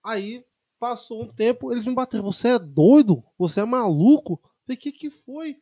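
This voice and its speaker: Man in his twenties from Brazil, lively storyteller, high and mellow voice, dry sound. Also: moderate pace, dry recording. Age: 20s. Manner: animated storytelling, acts out dialogue. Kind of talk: casual chat.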